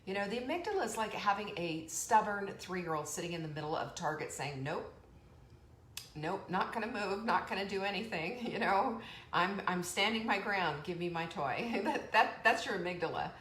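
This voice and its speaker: A woman hosting a live online motivational show, chatty and energetic, laughing often and reading out viewer comments. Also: medium pitch, normal speed. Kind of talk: motivational live-stream talk. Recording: echoey room with faint background noise.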